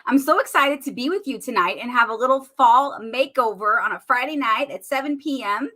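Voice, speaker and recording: Bubbly woman with a bright high voice. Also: animated, fairly quick, slight background noise. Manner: chatty and bubbly, addressing viewers as y'all. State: losing her voice, clearing her throat. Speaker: makeup consultant hosting a live demo. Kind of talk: casual live-stream makeup tutorial.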